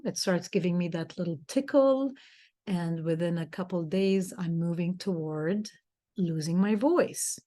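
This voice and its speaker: A woman co-hosting a talk show, telling a personal story in a rambling, easygoing way with small laughs. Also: lowish voice. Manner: rambling, restarts, soft laughs. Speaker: co-host and speaker. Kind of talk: storytelling in casual chat.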